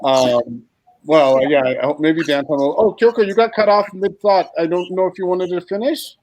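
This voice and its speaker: A man's voice: sits high, moderate pace, no room sound, poor audio. A man hosting an online writers' discussion over a video call, talking hesitantly with many fillers. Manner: hesitant, warm-toned with many fillers and restarts. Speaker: host. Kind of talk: casual moderated video call.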